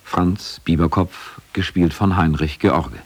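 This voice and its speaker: Very deep, husky male narrator. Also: steady tone, slow pace. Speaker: feature narrator. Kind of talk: scripted narration.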